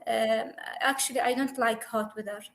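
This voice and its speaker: A woman from Syria practising English on a video call, hesitant and full of pauses. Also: fairly high pitch, fairly even intonation. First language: Arabic. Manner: hesitant, many pauses and fillers. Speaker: English student. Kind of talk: online conversation lesson.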